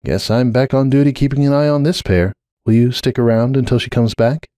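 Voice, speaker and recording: A man voicing a concerned but firm female unicorn pony with a frank, measured manner in a story reading. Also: mid-range voice, warm tone, clear, resonant tone, no room sound, clean studio sound, pitched above the performer's own voice, natural voice. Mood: resigned.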